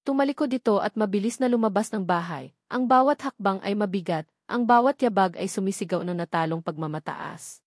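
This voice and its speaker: A woman's voice: medium pitch, brisk, a little mellow, no echo, studio quality. A woman with a Filipino accent giving a dramatic scripted narration of a romance story.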